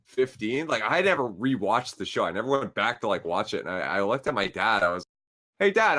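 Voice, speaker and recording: A man's voice: medium pitch, bright, forceful tone. A man in his twenties, co-hosting a casual gaming podcast and telling stories in loose slang, laughing often. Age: 20s. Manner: laid-back slangy storyteller, laughs often. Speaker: podcast co-host.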